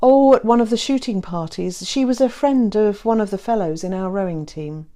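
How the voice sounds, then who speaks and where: A woman voicing a courteous, reassuring gentleman suitor in a romance audiobook, with formal phrasing. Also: mid-range voice, moderate pace, close to an everyday voice. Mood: tender, assured. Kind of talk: romance audiobook character reading.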